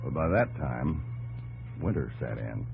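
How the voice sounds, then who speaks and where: A man's voice: very deep voice, animated, measured pace, vocal fry, loud music behind the voice, exaggerated voice. An American actor playing a plainspoken, stubborn pioneer farmer who tells his story in a folksy drawl, in a 1950s radio drama. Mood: reminiscing. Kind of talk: 1950s radio drama acting, narrating in character. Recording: old 1950s radio broadcast recording.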